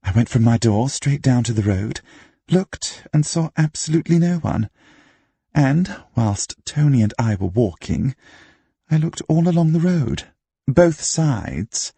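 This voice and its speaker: A man's voice: medium pitch, lively intonation, a little slow, vocal fry, warm tone, breathy edge, no room sound, voice pushed up, exaggerated voice. A man voicing a haughty upper-class woman in an audiobook, drawling and emphatic. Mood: indignant. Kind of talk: audiobook character acting.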